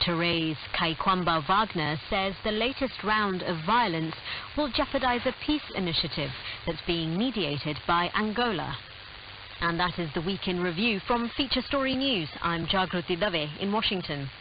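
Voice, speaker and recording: A woman's voice: fairly low pitch, animated, moderate pace. A woman anchoring a scripted radio news bulletin, heard over shortwave radio. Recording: muffled shortwave radio with heavy hiss.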